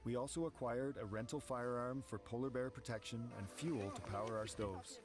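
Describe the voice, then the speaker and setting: A man reading measured, steady scripted narration for an expedition documentary. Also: medium pitch. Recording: voice-over with background music.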